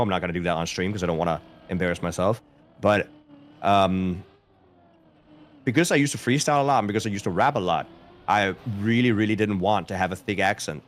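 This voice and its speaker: A man from Denmark chats casually with his live stream viewers, answering their questions aloud as they come in. His voice sits on the low side, and he readily pokes fun at himself. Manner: chatty, answers chat questions aloud, self-deprecating.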